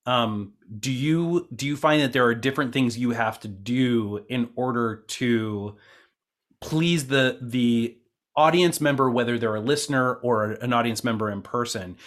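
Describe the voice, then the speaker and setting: A man's voice: mid-range voice, a little slow, a bit bright. A man acting as interviewer in a conversational discussion, informal and thinking aloud as he rephrases his questions. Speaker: interviewer, co-producer.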